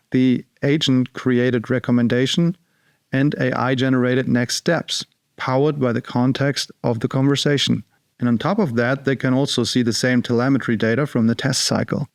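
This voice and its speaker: Casting: male product-demo narrator, mid pitch, gravelly fry in the voice, scripted delivery. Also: dry recording, studio quality.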